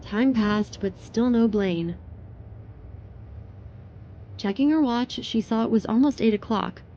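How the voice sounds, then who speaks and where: A woman's voice: on the high side, takes it slowly, mellow, muffled recording, a little hiss or hum. A woman giving scripted, dramatic narration of a story.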